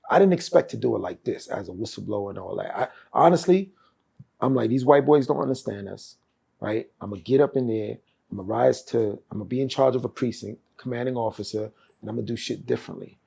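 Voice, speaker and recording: Gravelly fast-talking New man. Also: medium pitch, warm tone. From Brooklyn, New York. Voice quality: gravelly, husky. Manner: streetwise slang, fast, frequent "you feel me". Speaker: guest, former police officer. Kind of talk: podcast interview storytelling.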